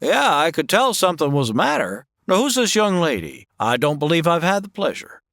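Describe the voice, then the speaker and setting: A man's voice: on the high side, big pitch swings, gravelly, fairly bright tone, no echo, clean studio sound, heavily acted. A man playing an easygoing lanky cowboy. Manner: slow easygoing drawl with stammers. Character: easygoing lanky male cowboy drifter from Texas. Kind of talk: comedy radio drama acting. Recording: hissy background noise.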